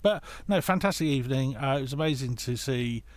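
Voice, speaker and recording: British man, quick pace, casual podcast anecdote, rambling, often interrupting himself. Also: medium pitch, expressive. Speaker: podcast guest. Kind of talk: casual chat, telling an anecdote.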